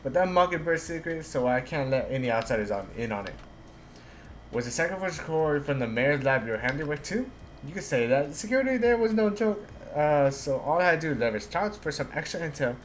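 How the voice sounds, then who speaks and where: A man's voice: a little high, expressive, brisk, a little hiss or hum. A man streaming a video game, casually reading dialogue aloud and commenting, sometimes stumbling over the lines.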